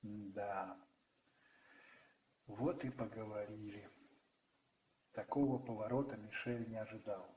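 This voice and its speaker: A man narrating a radio play dramatically from a script, in measured phrases with pauses. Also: lowish voice, steady tone, darkish tone, a little hiss or hum. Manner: measured, pausing between phrases. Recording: roomy echo, distant, faint music behind.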